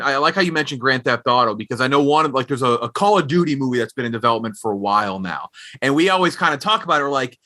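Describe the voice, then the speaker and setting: A man's voice: fairly high pitch, pushed and bright. A man hosting a casual podcast interview, chatty, asking long questions that restart and circle around.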